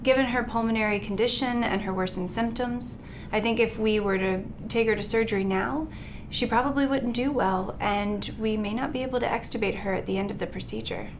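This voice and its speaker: A woman playing a calm, firm anesthesiologist who explains things tactfully in a training role-play. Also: on the high side, a little fast, noisy background, natural voice. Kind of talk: role-played training dialogue.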